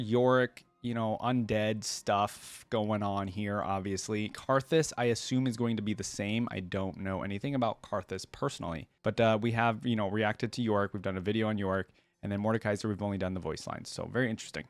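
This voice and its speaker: A man's voice: fairly low pitch, no room sound. A man hosting a reaction video, switching between casual commentary and reading aloud.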